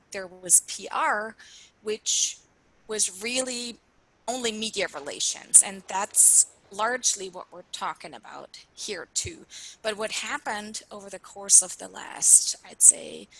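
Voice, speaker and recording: A woman's voice: mid-range voice, bright, forceful tone, rough recording. A woman from Switzerland, a native German speaker, chatting as a guest in a webinar interview, friendly and full of fillers. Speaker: PR consultant guest.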